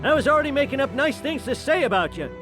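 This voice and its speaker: A man's voice: very high voice, sing-song, quick pace, over music. An actor playing a crotchety old grandfather giving wartime orders in a cartoon game cutscene. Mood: barking orders. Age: older. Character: cranky old grandpa barking war orders.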